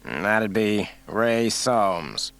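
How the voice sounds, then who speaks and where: A man voicing a cold, smug small-town male coroner with a slow, folksy way of talking. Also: mid-range voice, pushed and bright.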